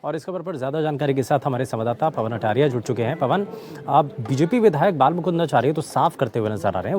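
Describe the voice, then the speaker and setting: A man with a medium-pitched voice anchors live news. He questions a correspondent conversationally, circling back over his phrasing as he goes. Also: darkish tone.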